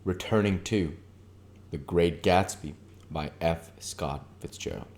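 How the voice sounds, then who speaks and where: A man reading literary narration for an audiobook, with measured, deliberate phrasing. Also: low-pitched, darkish tone, a little hiss or hum. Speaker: audiobook reader.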